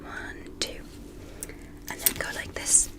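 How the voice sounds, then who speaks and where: A woman doing an ASMR medical roleplay as a courteous, curious alien doctor, in a breathy whisper with a gentle, deliberate, reassuring manner.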